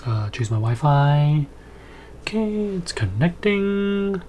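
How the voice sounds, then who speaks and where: A man's voice: fairly high pitch, sing-song, slow, darkish tone, slight background noise. A man in his thirties giving casual, conversational unboxing and setup commentary as he thinks aloud in a room at home. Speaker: video host, product reviewer. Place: small home room, indoors.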